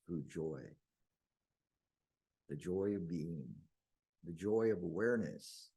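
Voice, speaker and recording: A man leading a guided meditation in slow, deliberate phrases with long pauses and a husky, gravelly voice. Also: sits low, warm tone.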